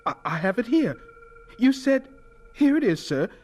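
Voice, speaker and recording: A man's voice: very high-pitched, very animated, normal speed, a little mellow, full character voice. An actor playing an earnest, nervous young male student in an audio drama, stammering and deferential. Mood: flustered, pleading.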